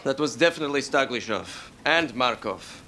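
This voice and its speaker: Russian fighter pilot, expressive pitch. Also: male voice, normal speed, slight background noise. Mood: earnest. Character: warm sly Russian fighter squadron pilot.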